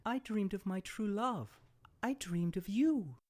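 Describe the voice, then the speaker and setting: A man voicing a dreamy young princess in a children's storybook, speaking softly and tenderly. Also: sits high, animated, slow pace, pitched above the performer's own voice. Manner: soft, tender. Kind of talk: children's storybook read-aloud.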